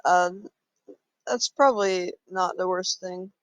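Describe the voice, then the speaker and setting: A man's voice: sits high, slow, no room sound, rough recording. A man casually talking through his moves in a card game, thinking aloud with frequent fillers. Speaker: gameplay commentator.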